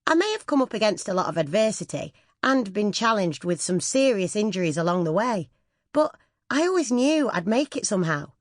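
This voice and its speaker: A woman's voice: medium pitch, sing-song, a little fast, no room sound, clean studio sound. A woman reading a scripted first-person memoir as an audiobook narrator.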